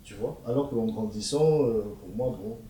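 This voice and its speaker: A man's voice: mid-range voice, normal speed, noisy background. A man who grew up in Congo, talking as a guest in a casual discussion, thoughtful and hesitant, with many fillers and restarts. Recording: roomy, distant from mic.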